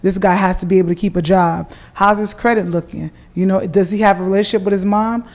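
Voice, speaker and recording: A woman co-hosting a podcast, talking frankly and relaxed in casual chat, thinking aloud with a few fillers. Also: mid-range voice, fast. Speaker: podcast co-host.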